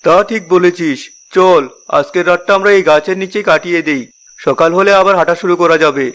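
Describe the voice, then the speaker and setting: A man's voice: high-pitched, brisk, a bit bright. A man voicing an earnest, responsible eldest brother from a village in a fairy-tale cartoon. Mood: worried. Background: music.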